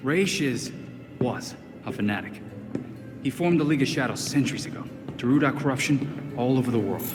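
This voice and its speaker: An actor playing a determined, plain-spoken, terse action hero in a video game. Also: male voice, mid-range voice, lively intonation, brisk, over music, natural voice. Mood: serious. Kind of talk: dramatic video game acting.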